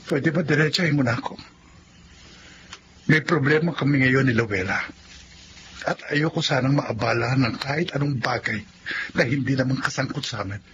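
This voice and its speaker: A man's voice: mid-range voice, moderate pace, pauses often, lo-fi sound, background noise, lightly acted. A Filipino actor playing a betrayed, unforgiving young husband in a radio drama, intense and accusing in his delivery. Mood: troubled.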